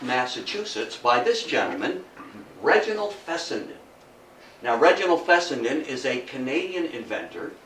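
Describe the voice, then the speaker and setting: An older man with an American accent giving an informal, deliberate history lecture and engaging his audience. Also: mid-range voice, fairly bright tone. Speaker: guest lecturer. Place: meeting room talk. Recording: echoey room, distant mic, faint noise.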